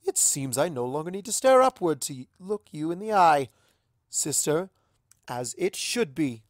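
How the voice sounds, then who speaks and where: A grand, imperious pony princess acted out by a man, pitched up from his natural voice for a fantasy story reading. The delivery is theatrical and formal, with a playful edge, and sounds close and dry. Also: sing-song, normal speed, no room sound. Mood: elated, giddy.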